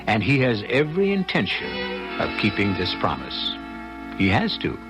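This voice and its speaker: A man as a grave, wry radio mystery host, narrating in a deliberate, portentous, dramatic way. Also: lowish voice, wildly swooping pitch. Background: music.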